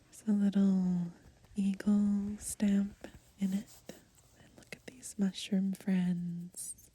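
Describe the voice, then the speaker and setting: A woman whispering softly and slowly in a gentle ASMR style, pausing between short phrases as she reads and browses through a book. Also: medium pitch, flat delivery. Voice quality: breathy, mostly unvoiced whisper. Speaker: ASMR host. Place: outdoors, lying in grass in the countryside.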